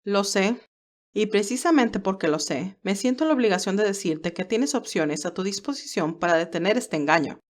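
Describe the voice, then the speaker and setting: A woman's voice: medium pitch, expressive, normal speed, natural voice. A woman voicing a stern, protective older male advisor in an audio drama, speaking in an earnest, admonishing way.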